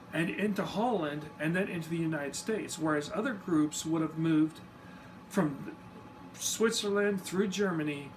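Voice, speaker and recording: Male explainer, slightly high voice, relaxed and informal, recorded from a distance. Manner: easygoing, self-deprecating, informal asides. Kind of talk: casual explanatory talk to camera. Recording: distant mic with steady background noise.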